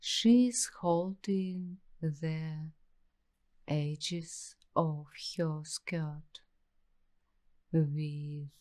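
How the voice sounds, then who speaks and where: A woman playing an uneasy, suspicious young waitress in an ASMR roleplay, speaking to the listener in hushed, halting phrases. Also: sits low, steady tone. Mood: intrigued. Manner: hushed, halting delivery in short broken phrases with long pauses.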